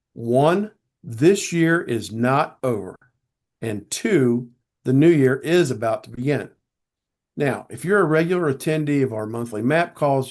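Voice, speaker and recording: Man, webinar host, formal measured delivery, voice going hoarse, video call mic. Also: mid-range voice, a little mellow. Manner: measured, formal, deliberate phrasing. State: losing his voice. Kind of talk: scripted webinar introduction.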